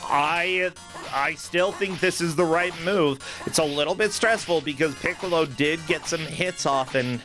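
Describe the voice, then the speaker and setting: Higher-voiced man commentating, music behind. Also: a little slow, bright, forceful tone. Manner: enthusiastic, conversational. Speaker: esports commentator. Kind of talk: live gaming tournament commentary.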